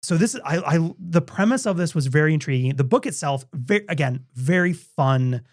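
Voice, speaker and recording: A man's voice: a little high, expressive, moderate pace. A man co-hosting a podcast, chatting casually about a book with many restarts, fillers and laughs. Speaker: podcast co-host.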